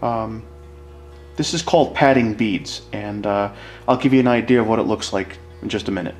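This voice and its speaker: A man's voice: fairly low pitch, moderate pace, darkish tone, soft background music. A man explaining a hands-on demonstration in a casual, informal way, as an instructor talking to viewers.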